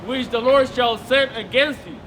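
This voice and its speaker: Guy reading bible verses real slow out on the street, really high voice, loud traffic noise. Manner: slow, careful reading aloud. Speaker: scripture reader for the preacher. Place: city street outdoors.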